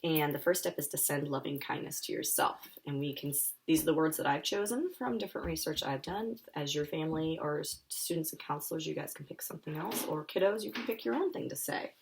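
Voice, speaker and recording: Lower-voiced woman reading to children. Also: brisk, gravelly, hardly any pauses. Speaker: author reading her children's picture book aloud. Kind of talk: live children's story time reading and friendly explanation.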